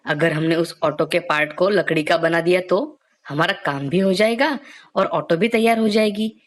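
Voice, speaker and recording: A woman voices a respectful young boy in a storybook cartoon. He is earnest and thoughtful, speaking rather low. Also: moderate pace, natural voice. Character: polite, thoughtful young son with bright ideas.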